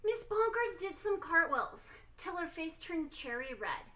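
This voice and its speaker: A woman reading a children's storybook aloud expressively, with theatrical, deliberate emphasis. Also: very high-pitched, very animated, sharp tone. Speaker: storytime reader.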